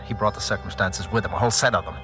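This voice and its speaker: A man's voice: fairly low pitch, very fast, over music, natural voice. A man acting in a 1940s radio drama as a blunt, sardonic, suspicious husband who tells his own murder story. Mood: brooding, confessional. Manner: plainspoken, sardonic.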